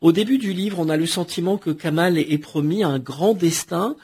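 A man hosting a radio book interview, asking formal, measured questions. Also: fairly high pitch, darkish tone, husky. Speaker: radio host.

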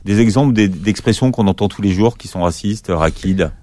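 A man's voice: fairly low pitch, a little fast, warm tone, no room sound. A man hosting an interview, asking formal questions and backing the guest up with short agreements. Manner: formal questioning, with frequent "mhm" backchannels. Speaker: interviewer host.

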